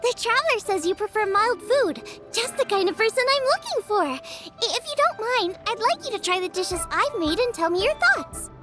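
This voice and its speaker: A woman's voice: very high-pitched, big pitch swings, normal speed, hardly any pauses. A woman voicing a cheerful, earnest young female chef, girlish and friendly, in a game cutscene. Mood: hopeful. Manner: friendly, polite, enthusiastic. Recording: music behind the voice.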